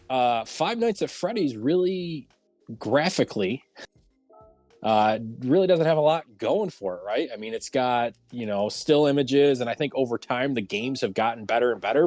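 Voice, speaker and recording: A man's voice: mid-range voice, a little mellow, dry recording. A man hosting a casual livestreamed round table talk show.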